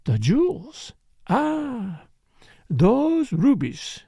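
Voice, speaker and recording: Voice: medium pitch, huge pitch swings, measured pace, darkish tone, dry recording, clean studio sound, voice pushed up, heavily acted. A reader voicing a passionate, scheming foreign female dancer in an audiobook story, emphatic, dramatic and accusing. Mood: dreamy.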